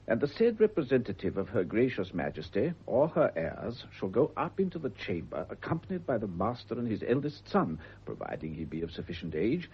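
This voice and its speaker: An actor playing a courteous, ceremonial Crown official with a British accent in a radio drama, speaking in a formal, measured way. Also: male voice, lowish voice, slightly rough, lo-fi sound, full character voice.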